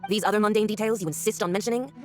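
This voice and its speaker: A woman's voice: mid-range voice, very fast, darkish tone, faint music behind, lightly acted. A woman voicing a haughty, mocking villainous diplomat in a video game, condescending and theatrical. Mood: dismissive.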